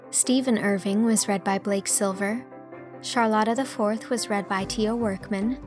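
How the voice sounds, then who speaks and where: A woman reads as an audiobook narrator, telling the story from a script. Her voice is mid-pitched, with music behind it.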